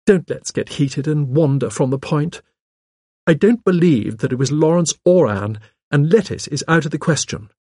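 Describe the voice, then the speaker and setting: A man's voice: a little high, animated, moderate pace, darkish tone, no room sound, studio quality, voice pushed up. A man voicing a spirited, outspoken young wife with an impulsive, emphatic manner in an audiobook. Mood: eager. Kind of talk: audiobook character dialogue.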